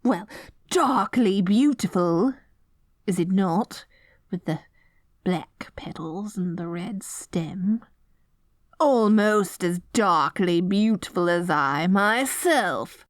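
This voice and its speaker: A woman's voice: medium pitch, expressive, slow, no echo, clean studio sound. A woman playing a dorky, lovestruck evil queen in an ASMR roleplay, stammering and flustered between pompous villainous proclamations. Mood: grandiose. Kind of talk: ASMR roleplay audio drama.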